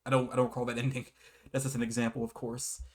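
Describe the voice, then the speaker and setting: A man's voice: medium pitch, flat delivery, quick pace. A man casually hosting a game review, often chuckling as he rambles, backtracks and corrects himself. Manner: hesitant, self-correcting, often repeats and backtracks; chuckles often while talking. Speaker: video game reviewer and host.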